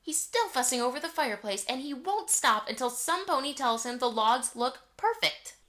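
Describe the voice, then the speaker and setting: A woman playing a middle-aged mother mare in a fan audio drama, firm, brisk and affectionate. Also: sits high, animated, sharp tone. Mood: exasperated.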